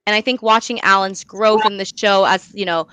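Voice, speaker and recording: Clear, bright young woman. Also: mid-range voice, steady tone, moderate pace, dry recording, rough recording. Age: young adult. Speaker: guest, playwright. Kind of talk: interview talk about own creative work.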